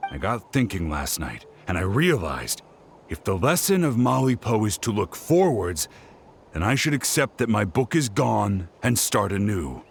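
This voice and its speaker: An actor voicing a fussy, bookish writer in a video game, wordy and precise. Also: male voice, on the low side, sing-song, unhurried, vocal fry, a little hiss or hum, exaggerated voice. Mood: reflective, keen. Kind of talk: video game character dialogue.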